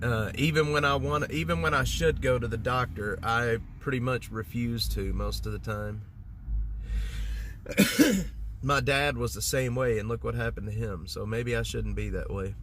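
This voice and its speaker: A man's voice: mid-range voice, moderate pace, a bit bright, slight background noise. A man, congested, chatting casually on a livestream in a rambling way with lots of fillers and restarts. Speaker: livestream host. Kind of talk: casual livestream chat with viewers.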